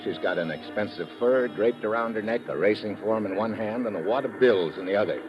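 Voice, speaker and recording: An actor playing a tough, wry American adventurer in an old radio drama, slangy and casual in dialogue and narration. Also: male voice, on the low side, brisk, music in the background, natural voice. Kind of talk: 1950s radio drama acting with first-person narration. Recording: muffled vintage radio recording.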